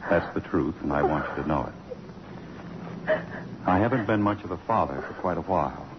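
An actor playing a contrite middle-aged college president, solemn and formal, in radio drama style. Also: male voice, low-pitched, expressive, moderate pace. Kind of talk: 1950s radio drama acting. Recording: muffled, noisy old radio broadcast.

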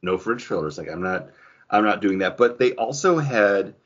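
Bright, low-voiced man chatting on a podcast. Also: expressive, moderate pace, breathy edge. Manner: anecdotal, acts out remembered dialogue. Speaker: podcast co-host. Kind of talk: casual chat among friends. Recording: dull, band-limited audio.

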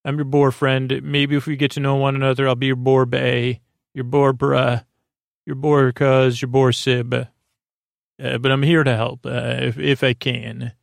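A man hosting a sleep podcast, giving a meandering, halting bedtime monologue with many digressions in a gravelly, creaky voice. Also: mid-range voice, level tone, no echo, studio quality.

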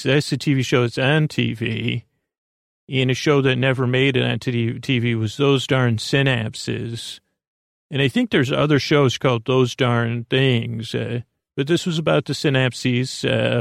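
A man with a creaky voice giving a lulling, meandering, halting bedtime podcast monologue as host, in a self-deprecating way. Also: mid-range voice, dry recording, studio quality. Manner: lulling, soothing.

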